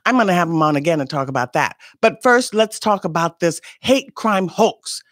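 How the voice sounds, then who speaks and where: Man host with a high voice, dry close sound, piles up questions. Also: lively intonation, normal speed, a bit bright, no echo. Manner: repeats himself, stacks questions. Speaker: show host. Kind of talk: interview hosting.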